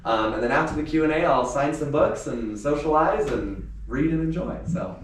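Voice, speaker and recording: Animated man in a very echoey room. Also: on the high side, moderate pace. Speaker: author reading his book at a release event; teacher. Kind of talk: informal live event talk and story read aloud. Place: indoor venue with a small live audience. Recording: very echoey room, distant mic, faint noise.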